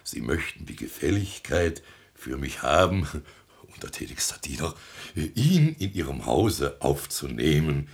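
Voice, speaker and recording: A self-important elderly town mayor in a radio comedy. The voice is very deep and unhurried, swinging widely as he declaims and blusters. The delivery is theatrical and full of stuffy officialese. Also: big pitch swings, exaggerated voice. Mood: awed, self-important. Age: older.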